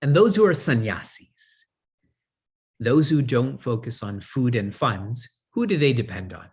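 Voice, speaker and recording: A spiritual teacher gives a discourse in a husky voice of middle pitch. He puts questions to his listeners and answers them himself, and he often chuckles between points. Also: very animated, a little slow, a little mellow. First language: English. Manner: chuckles often while talking.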